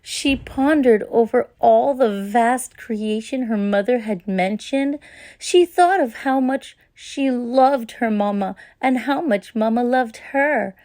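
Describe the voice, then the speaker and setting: A woman narrating a children's story in a gentle, expressive read-aloud manner. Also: a little high, slow pace, no echo.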